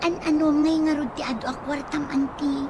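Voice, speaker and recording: A woman's voice: sits high, fairly even intonation, normal speed. A woman playing a perky, cheeky young niece in a radio comedy drama, speaking with an Ilocano accent. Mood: cheeky, coaxing. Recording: noisy audio with birds.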